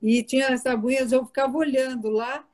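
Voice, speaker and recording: An older woman, born in Italy, tells childhood stories in a loose, chatty way. Her voice sits a little high for a woman, and she laughs easily and lets sentences trail into repeats. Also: fairly even intonation, brisk, a little mellow. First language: Italian. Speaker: guest author. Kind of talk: relaxed conversational interview.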